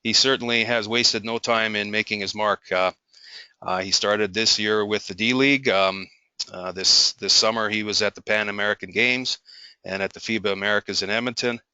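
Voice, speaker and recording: A man hosting a referee talk, introducing the guest in a halting way full of ums and uhs. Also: fairly low pitch, steady tone, pushed and bright, clear, resonant tone, dry recording. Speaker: host introducing the speaker. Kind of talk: formal introduction.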